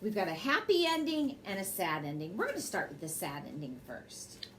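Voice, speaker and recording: A woman's voice: medium pitch, huge pitch swings, normal speed, slight background noise. A woman reading a children's bedtime story aloud expressively, with brief asides to her young listeners. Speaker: storybook reader and host.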